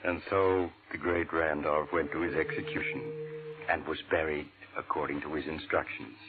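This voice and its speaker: An actor playing an ominous storyteller, narrating an old-time radio mystery in a measured, brooding, dramatic way. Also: male voice, rumbling low, steady tone, loud music behind the voice. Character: ominous male storyteller of eerie mystery tales. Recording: narrow-band vintage radio recording.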